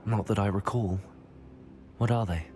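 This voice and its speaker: An earnest young wizard student, voiced by a man, answers politely and plainly. His voice sits a little low, with faint game music behind it. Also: steady tone, moderate pace, darkish tone, close to an everyday voice. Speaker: player character. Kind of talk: game cutscene acting.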